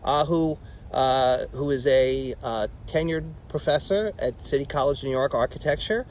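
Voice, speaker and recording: A man hosting an interview outdoors in a garden, talking in a rambling, animated way. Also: mid-range voice, measured pace, a little hiss or hum. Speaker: show host. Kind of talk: conversational interview.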